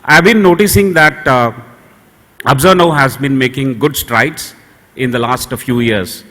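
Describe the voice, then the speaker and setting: A man's voice: mid-range voice, fairly bright tone. Indian man speaking in a reverberant hall. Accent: Indian English. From southern India. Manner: anecdotal, measured, frequent "uh" pauses. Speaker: conference speaker for a university. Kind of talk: conference keynote speech. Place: large conference hall. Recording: long hall reverb from a venue PA.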